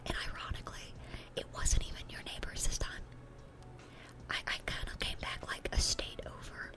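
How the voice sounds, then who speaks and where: A woman's voice: moderate pace, music in the background, natural voice. A woman voicing a sweet, dorky demon girl in a whispered ASMR roleplay, rambling and stammering in an eager, awkward way. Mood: confiding, animated.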